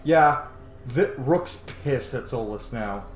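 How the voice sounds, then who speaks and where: Male gaming host, mid-pitched, casual commentary, informal and sweary, roomy echo, faint game music. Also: animated, slow pace. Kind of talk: casual let's play commentary. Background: video game music.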